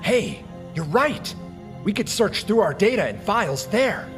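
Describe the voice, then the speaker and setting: A man playing an eager, helpful crewmate in an animated cartoon. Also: high-pitched, measured pace, over music. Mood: hopeful.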